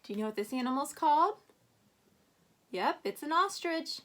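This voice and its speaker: A woman teacher giving a reading lesson to young children, prompting them with questions and reading aloud with expressive emphasis. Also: sits high, normal speed. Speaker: first-grade teacher.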